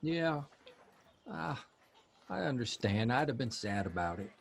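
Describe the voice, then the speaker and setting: An actor playing an earnest, worried grown son in a naturalistic audio drama, halting and exasperated but affectionate. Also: male voice, mid-range voice, sing-song, creaky, exaggerated voice. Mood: subdued. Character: earnest grown son, a newspaper writer, worried about his aging father. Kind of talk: naturalistic audio drama acting at a live reading. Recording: music behind a live stage reading.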